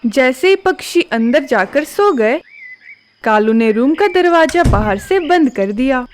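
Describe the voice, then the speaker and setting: Woman narrating a kids cartoon story, high voice, steady and clear, a little background hiss. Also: unhurried.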